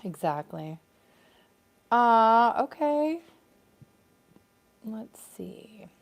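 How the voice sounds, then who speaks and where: A woman's voice: on the high side, sing-song, extremely slow, creaky. An American woman co-hosting a casual live stream, chatting upbeat and reading out viewer comments.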